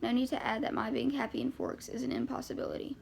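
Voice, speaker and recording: A woman with a creaky, fry-laden voice reading first-person novel narration aloud as an amateur audiobook reader. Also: on the high side, little pitch movement, fast talker, darkish tone, a little hiss or hum.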